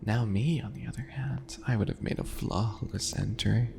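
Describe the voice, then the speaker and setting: A man playing a small, smug, obsessive yandere in an ASMR roleplay, slow and mock-sweet, with a breathy, gravelly voice that drops into whispers. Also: lowish voice, background noise. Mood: smug teasing.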